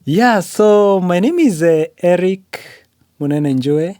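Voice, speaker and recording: A man's voice: high voice, lively intonation, slow, mellow, no echo, clean studio sound. A man from Kenya with a Kenyan accent, whose first language is Swahili, speaking earnestly as a podcast guest with frequent fillers like "uh" and "you know". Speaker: podcast guest, graduate student. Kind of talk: interview conversation.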